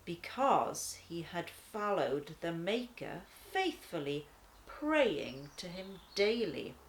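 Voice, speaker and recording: A woman voicing a calm, patient, courteous guardian angel who explains things in a children's storybook. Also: lowish voice, a little hiss or hum, exaggerated voice. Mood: calm, solemn. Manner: measured explaining, reassuring.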